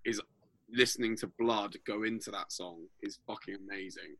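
A man co-hosting a music podcast, enthusiastic and sweary, with a slightly rough voice. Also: fairly low pitch, moderate pace, fairly bright tone. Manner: enthusiastic, sweary, filler-heavy. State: voice a bit rough. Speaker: podcast co-host. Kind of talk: casual music podcast chat.

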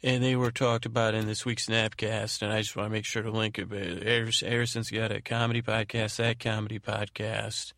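Gravelly, creaky-voiced man rambling along. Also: on the low side, level tone, a bit bright, dry recording, studio quality. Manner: meandering, halting, repeats and rephrases himself. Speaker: podcast host. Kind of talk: rambling bedtime monologue and thank-you announcements.

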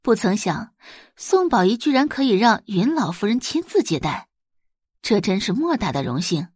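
A woman narrating a Chinese web-novel audio drama in an expressive storytelling manner. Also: mid-range voice, normal speed, husky, no room sound, studio quality.

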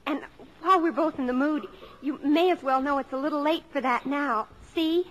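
Eager, high-voiced American teenage girl. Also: normal speed, fairly bright tone, low-quality recording. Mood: excited. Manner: earnest, exclamatory. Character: eager, dramatic, pleading teenage daughter. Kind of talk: 1950s American radio comedy acting.

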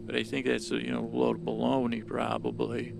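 A man's voice: mid-range voice, monotone, brisk, creaky, noisy background. A man hosting a podcast, improvising a meandering monologue that halts, repeats itself and trails off. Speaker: podcast host. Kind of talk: rambling improvised bedtime story monologue.

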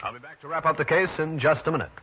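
A man's voice: a little high, rapid-fire, very noisy, close to an everyday voice. A man playing a tough, wry, unruffled private detective with an American accent, in an old-time radio drama. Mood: narrating. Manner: wry, unruffled, hard-boiled. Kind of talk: 1940s or 1950s radio detective acting. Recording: muffled old radio recording.

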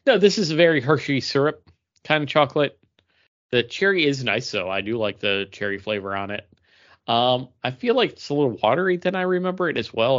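A man's voice: medium pitch, a bit bright, dull audio. A man co-hosting a podcast in casual chat with friends, rambling and correcting himself as he goes. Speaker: podcast co-host.

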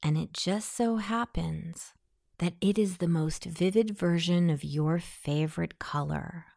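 Slow, low-voiced woman guiding meditation. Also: no room sound, studio quality. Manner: soothing, softly breathy delivery. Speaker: hypnosis meditation guide. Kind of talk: scripted guided meditation.

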